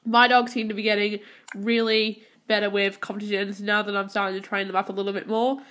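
A teenage girl talks through her game with a stuffy nose from a head cold, tired and hesitant, filling gaps with ums and restarting sentences. Her pitch sits in the middle for a girl, and she sounds like she is filming her console screen with a phone. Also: level tone, brisk, a bit bright. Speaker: gaming channel host. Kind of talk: casual gameplay commentary. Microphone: phone filming a handheld console screen.

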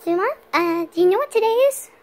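A woman's voice: very high voice, lively intonation, fast talker. A woman voicing a cheerful, trusting young female puppy who asks simple, curious questions.

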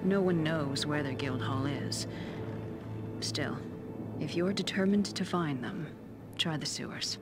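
Low-voiced fantasy tavern keeper, confiding. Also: female voice, a little slow, long pauses, over loud music. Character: friendly, concerned female tavern keeper in a fantasy town. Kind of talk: fantasy video game dialogue.

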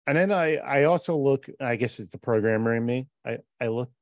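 A man in his forties with a middle-pitched voice, explaining earnestly in quick bursts on a casual podcast. He talks into a close podcast mic from a home office, and the sound is dry. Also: dry recording. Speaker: programmer and podcast co-host. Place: home office on a video call. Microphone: podcast mic with headphones.

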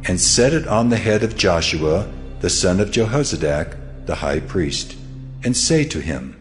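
Audio Bible deity: very low, grave, commanding, with music underneath. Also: male voice, lively intonation, unhurried.

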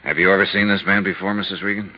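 Male actor for tough, brisk insurance investigator, old radio style; lowish voice, muffled and hissy recording. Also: fairly even intonation, natural voice. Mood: businesslike. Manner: brisk, dry, insistent. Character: hard-boiled, persistent insurance investigator. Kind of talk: 1950s radio drama acting and first-person narration. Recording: noisy, lo-fi 1950s radio recording.

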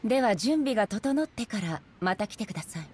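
An actress voicing a stern, solemn female warrior ruler in a Japanese video game, speaking in a formal, measured manner. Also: medium pitch, lively intonation, darkish tone, a little hiss or hum, natural voice. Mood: solemn, commanding. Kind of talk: Japanese game character voice acting.

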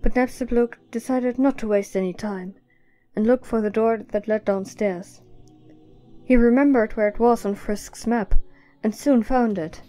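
A woman reads scripted story narration in a soft-edged, mellow voice. Her pitch is a little high, and faint music plays underneath. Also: a little slow, low-quality recording.

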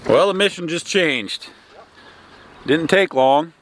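A man's voice: fairly high pitch, animated, takes it slowly, a bit bright. A man in his thirties, a fishing vlogger, chatting casually to the camera from his truck with an easygoing manner and plenty of "uh" fillers. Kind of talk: casual vlog narration to camera. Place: inside a pickup truck, on the road and by the water. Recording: engine and road noise behind.

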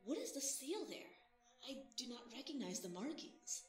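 A bold, curious rich boy with a somewhat high voice and old-fashioned formal phrasing. The voice sits back from the mic in a roomy space, with music playing clearly underneath. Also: big pitch swings, normal speed. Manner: eager, archaic formal speech. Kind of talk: audio drama acting. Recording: roomy reverb, distant from mic, music bed.

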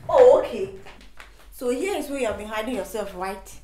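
A woman's voice: on the high side, expressive, normal speed, dark tone, lightly acted. An actress with a Nigerian accent playing a bossy, hot-tempered young woman in a screen drama. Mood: furious. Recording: echoey room, faint noise.

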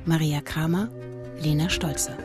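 A woman announces radio play credits in a scripted read. Her pace is slow, her voice slightly low, and music plays clearly behind her. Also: fairly even intonation.

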